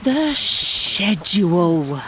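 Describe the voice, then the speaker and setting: A woman's voice: fairly low pitch, sing-song, drawn-out words, a bit bright, exaggerated voice. An actress playing a wry, self-important woman writer turned amateur detective in a comedy audio drama, with dry sardonic delivery. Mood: wry, confiding. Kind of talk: audio drama narration and dialogue.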